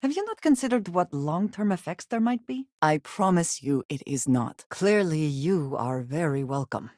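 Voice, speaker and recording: A guarded woman space explorer from a video game. Her speech is formal, serious and measured, with few contractions. The recording is close and very dry. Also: sits low, expressive, a little mellow, no echo. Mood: calm, sincere. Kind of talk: scripted video game companion dialogue.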